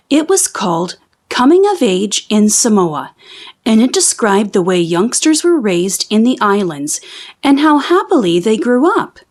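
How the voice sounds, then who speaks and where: Female storybook reader for children, animated delivery with a very varied, fairly high pitch. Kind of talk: children's read-aloud narration.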